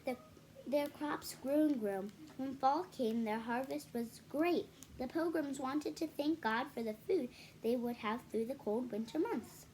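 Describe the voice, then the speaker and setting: A woman's voice: high voice, a little slow, a little mellow, slight background noise. A woman reading a children's picture book aloud, adding casual explanatory asides for young listeners. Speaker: read-aloud storyteller for children.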